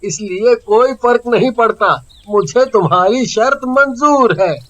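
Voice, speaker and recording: A man playing a trusting young village farmer in a cartoon horror story, speaking slowly. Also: very high voice, fairly bright tone. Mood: friendly. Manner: slow, drawn-out delivery, panicked stammering when scared. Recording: dull lo-fi voice track.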